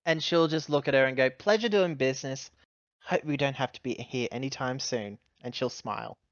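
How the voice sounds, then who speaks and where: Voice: mid-range voice, normal speed, dry recording, muffled recording. A tabletop game player roleplaying and chatting casually in an assertive manner. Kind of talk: in-character roleplay and casual chat.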